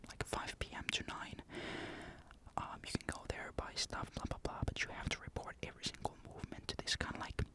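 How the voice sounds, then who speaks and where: A young man from Finland, whispering breathily in a casual, rambling ASMR-like monologue despite a sore throat. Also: fairly quick. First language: Finnish. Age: young adult. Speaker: military conscript recounting service.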